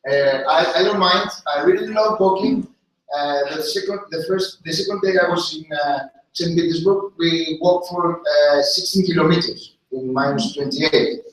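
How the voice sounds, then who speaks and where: Halting man in an echoey hall. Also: high-pitched, a bit bright. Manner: halting, many fillers and restarts. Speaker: tour guide presenting a city tour. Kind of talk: unscripted presentation to a group. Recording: very echoey room from a distance.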